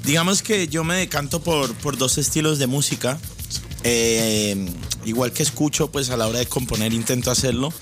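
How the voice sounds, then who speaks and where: A man's voice: mid-range voice, normal speed. Colombian-born rapper guy on the radio, earnest, explains a lot, faint music behind. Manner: earnest, explains at length, laughs easily. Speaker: rapper, interview guest. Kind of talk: casual radio interview.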